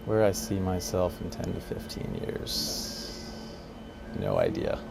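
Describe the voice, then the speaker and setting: A man's voice: deep voice, huge pitch swings, slow pace, over loud music. A man from the United States working as an air traffic controller, giving casual, plain-spoken interview answers about his job. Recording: clear ambient background noise.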